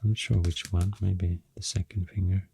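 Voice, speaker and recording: A man with a Swedish accent softly rambling through an ASMR explanation, breathy and often near a whisper, soothing and hesitant in manner. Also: sits low, little pitch movement, moderate pace, a little hiss or hum. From Sweden. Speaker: ASMR host.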